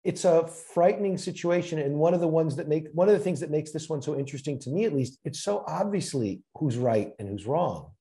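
A man's voice: fairly high pitch, lively intonation, brisk, few pauses, warm tone. A man hosting an interview, affirming his guest and steering the talk with short prompts. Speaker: interview host. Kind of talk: interview conversation.

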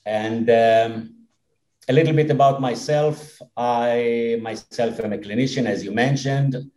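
A man in his fifties with an Israeli accent, a dentist and company head speaking as a podcast guest in an earnest, halting way with many fillers. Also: mid-range voice, steady tone, mellow, echoey room. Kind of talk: podcast interview.

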